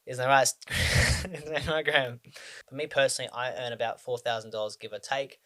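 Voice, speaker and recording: A man's voice: medium pitch, fairly even intonation, a little fast, sharp tone. Rambling young man with frequent ums. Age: 20s. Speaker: personal finance vlogger. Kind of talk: casual talk to camera.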